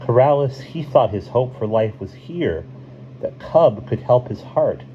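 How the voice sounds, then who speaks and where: A man's voice: medium pitch, expressive, a little slow, voice pushed up, close to an everyday voice. A man voicing an anxious, earnest young woman who questions things in a novel reading. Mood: worried, incredulous. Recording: dull lo-fi recording, faint hiss.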